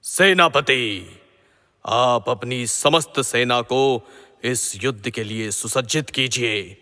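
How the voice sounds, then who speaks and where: A man acting a grave, righteous middle-aged king and father in a mythological audio drama, speaking in formal, measured pronouncements. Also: medium pitch, sharp tone. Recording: long hall-like reverb.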